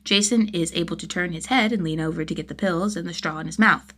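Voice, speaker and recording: Female audiobook narrator, slightly low voice, expressive scripted storytelling. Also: quick pace. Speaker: audiobook narrator of fan fiction.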